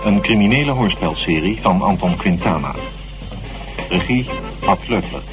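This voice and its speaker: A man's voice: low-pitched, lively intonation, normal speed, music in the background. A man announcing the title and credits of a radio serial in a scripted style.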